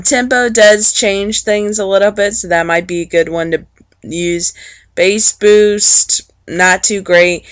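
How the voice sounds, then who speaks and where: A woman teacher narrating an informal, plain-spoken software walkthrough step by step, explaining things for students. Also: on the low side, no echo. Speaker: teacher giving a software tutorial.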